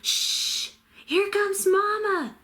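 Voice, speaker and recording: A small, curious baby bird from a storybook, played by a woman reader. It sounds high, chirpy and childlike, bright and excitable. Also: very slow, slight background noise, exaggerated voice. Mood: hushed urgency.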